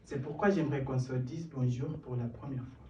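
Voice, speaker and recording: A man's voice: medium pitch, brisk, muffled recording, crowd noise. A young man from Kenya, an English speaker, performing spoken-word poetry at an open mic with a rhythmic, building delivery and self-deprecating asides. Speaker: performance poet. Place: small stage in a cellar venue. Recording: roomy, distant live room sound.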